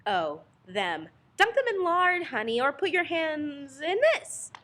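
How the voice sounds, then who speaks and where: A woman's voice: sits high, big pitch swings, slow pace, a bit bright, exaggerated voice. A woman playing a syrupy, scheming belle with honeyed theatrical delivery in a satirical radio play. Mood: sugary, insistent. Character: scheming, syrupy Southern belle in a melodrama parody.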